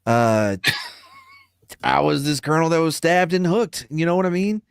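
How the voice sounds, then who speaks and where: Male podcast co-host, animated and profane, very dry sound. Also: on the high side, a little slow, creaky, clear, resonant tone, no echo. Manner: animated, jokey, swears freely. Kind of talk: casual profane banter.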